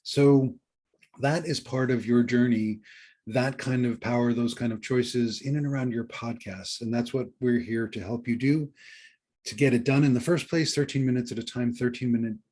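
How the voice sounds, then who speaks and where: A man co-hosting a podcast in a conversational style, somewhat halting and repeating phrases for emphasis. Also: mid-range voice, fairly even intonation, darkish tone. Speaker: podcast co-host and book coach.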